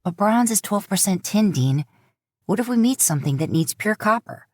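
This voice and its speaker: A woman's voice: medium pitch, lively intonation, moderate pace, a little mellow, no echo, studio quality, voice pushed up, natural voice. A woman voicing an earnest, bookish young man who argues insistently.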